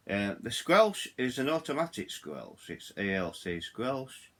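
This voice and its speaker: A man's voice: medium pitch, big pitch swings, measured pace, fairly bright tone. A middle-aged man with a Yorkshire accent giving a casual hands-on product walkthrough, rambling and repeating himself as he goes. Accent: Yorkshire English. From Yorkshire, England. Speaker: hobbyist radio reviewer.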